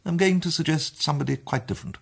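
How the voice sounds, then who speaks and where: An actor with an English accent playing an urbane, self-assured gentleman detective, polished and theatrical in a radio drama. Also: on the high side, fast talker, vocal fry, husky, full character voice. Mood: confident, enthusiastic. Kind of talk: British radio drama acting.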